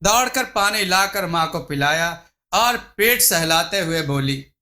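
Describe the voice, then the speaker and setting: A man's voice: sits high, animated, measured pace, sharp tone. A man reading a scripted folk tale as a storyteller. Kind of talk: scripted narration of a Hindi moral folk tale.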